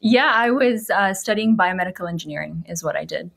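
A woman born in Pakistan and raised in Canada, answering interview questions in a relaxed, thoughtful way as a podcast guest. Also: fairly low pitch, fairly quick, gravelly. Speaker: podcast guest, creative lead. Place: small office room. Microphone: video call webcam.

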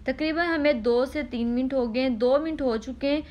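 A high-pitched woman walks viewers through a home recipe, unhurried and repetitive, with small "ah" pauses. A faint noise floor sits under her voice. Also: a little mellow. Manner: patient, repeats instructions, frequent "ah" pauses. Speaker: cooking channel host. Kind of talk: step-by-step cooking demonstration.

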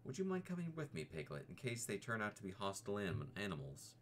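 A man's voice: fairly low pitch, huge pitch swings, fast, a little hiss or hum. Man doing a solemn, ponderous teddy bear. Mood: serious. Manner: ponderous and earnest, mock-wise. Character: slow, thoughtful male teddy bear, solemnly pompous. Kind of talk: storybook reading.

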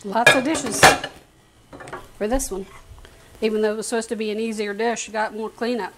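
A woman's voice: fairly even intonation, moderate pace, noisy background. A middle-aged woman chatting casually as she cooks in a home kitchen, recorded by a camera across the room. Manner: chatty, talks to a grandchild in a high sing-song voice. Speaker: home cook and show regular. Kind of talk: casual cooking vlog chat. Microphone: camera on a tripod across the kitchen.

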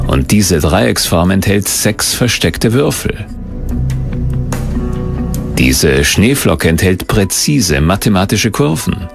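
A man's voice: low-pitched, creaky. A man reading scripted narration for a documentary.

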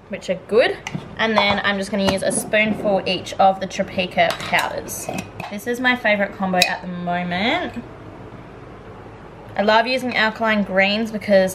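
A woman's voice: mid-range voice, noisy background. A woman in her twenties with an Australian accent, chatting casually as a vlogger to a handheld camera at arm's length, upbeat and rambling. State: out of breath after a workout. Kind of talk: casual vlog chat. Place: home kitchen and bedroom, also a gym.

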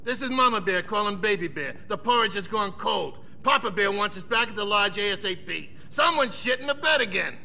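A man's voice: high-pitched, animated, brisk, creaky, few pauses, sharp tone, slight background noise, exaggerated voice. An actor playing a sarcastic, crude veteran policeman in a video game, with a mocking manner. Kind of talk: video game cutscene acting.

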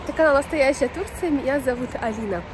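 Young female vlogger, high-pitched, informal and chatty, a bit sniffly with a cold, recorded on a noisy street. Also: fast. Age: young adult. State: slightly unwell with a runny nose. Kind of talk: casual walking vlog chat. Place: outdoors on a city street beside traffic. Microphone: phone at arm's length. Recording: clear outdoor street and traffic noise.

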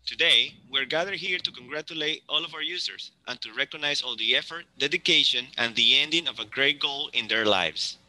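Very bright-voiced man announcing. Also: mid-range voice, fairly quick, few pauses, dry recording, poor audio. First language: Spanish. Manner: formal, announcing. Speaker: ceremony co-host. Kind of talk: formal ceremony address.

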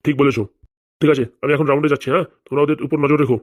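Woman doing a rapid-fire male cartoon prison officer. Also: sits low, fairly even intonation, darkish tone, no echo, voice pushed down. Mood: bossy. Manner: instructing, repetitive, hurried. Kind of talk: Bengali comedy cartoon dialogue.

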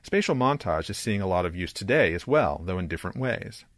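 A man narrating a scripted academic video essay in a measured, articulate, explanatory way. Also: low-pitched, lively intonation, fairly bright tone. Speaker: presenter, digital comics creator. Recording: music under the voice. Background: music.

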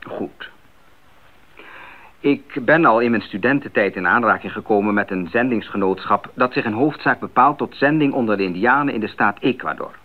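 A man's voice: lowish voice, normal speed, phone-quality sound, lightly acted. An actor playing an earnest, idealistic young missionary explaining himself to his parents in a radio drama. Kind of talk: 1980s Dutch radio drama acting. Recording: thin band-limited old radio recording.

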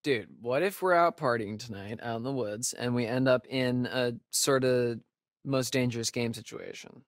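A man's voice: medium pitch, no echo, close to an everyday voice. Man playing a wisecracking young American guy, dry recording. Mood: joking, animated. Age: young adult. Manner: casual, sarcastic, halting asides. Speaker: video game voice actor. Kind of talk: naturalistic video game dialogue.

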